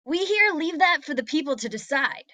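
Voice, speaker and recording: A woman reads an earnest male peasant delegate in a script reading. The voice is high and bright, with formal, wordy phrasing. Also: very animated, normal speed, no room sound, full character voice. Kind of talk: comedic screenplay table read.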